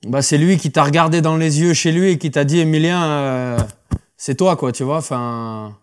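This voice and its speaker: A man's voice: fairly high pitch, moderate pace, clean tone. A man from France hosting a podcast, chatting casually and encouraging his guest. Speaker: podcast host. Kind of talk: casual interview chat.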